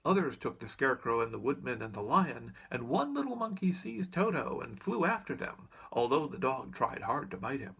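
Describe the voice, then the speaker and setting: Animated, husky male storybook reader. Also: medium pitch, moderate pace, few pauses. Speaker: audiobook reader.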